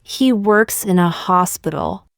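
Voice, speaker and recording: A woman reading short practice sentences aloud for learners, saying each one twice, slowly and carefully. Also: fairly low pitch, lively intonation, vocal fry, dry recording, clean studio sound. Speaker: English teacher.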